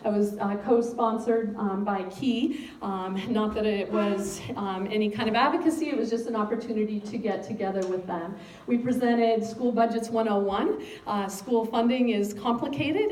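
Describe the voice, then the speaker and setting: A woman's voice: fairly high pitch, normal speed. An American woman, a school superintendent, giving a public update to a civic gathering with many ums. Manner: frequent ums, speaks of having a loud voice. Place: meeting room. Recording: echoey room, distant mic, faint noise.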